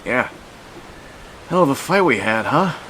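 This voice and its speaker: Earnest, bright-voiced hero captain played by a man. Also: mid-range voice, very animated, measured pace, slight background noise, poor audio. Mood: resolute, appealing. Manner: earnest, heartfelt. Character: noble, earnest male soldier superhero captain. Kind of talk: superhero audio drama acting.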